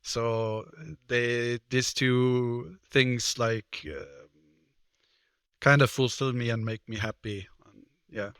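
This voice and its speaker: A man's voice: medium pitch, steady tone, slow pace, fairly bright tone, clear, resonant tone, no echo, studio quality. A man in his thirties from Switzerland, a guest on a podcast talking casually and hesitantly, with many fillers and restarts. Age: 30s. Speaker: guest, personal trainer and card game player. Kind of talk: casual interview talk.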